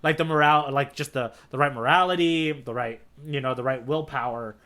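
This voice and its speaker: A man's voice: mid-range voice, fairly quick, bright, forceful tone. A man co-hosting a podcast, talking about manga in an informal, enthusiastic way. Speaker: podcast co-host. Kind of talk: casual chat about manga.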